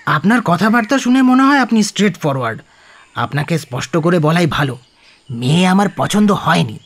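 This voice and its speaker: An actor playing a pompous, well-off middle-aged suitor in a radio play, with a husky voice and a haughty, halting manner. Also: male voice, high-pitched, big pitch swings, heavily acted. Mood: haughty, blunt. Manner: haughty, hesitant, drops English phrases. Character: pompous well-off suitor, man in late thirties or older.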